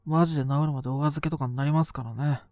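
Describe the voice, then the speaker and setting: A man's voice: fairly high pitch, steady tone, racing, a little mellow, no room sound, natural voice. A man playing a sick, sleepy young boyfriend in an audio drama, grumbling casually in a murmur, exasperated yet fond. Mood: exasperated, scolding. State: coughing, acting sick with a cold. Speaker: voice actor. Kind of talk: Japanese situation voice drama aimed at listeners.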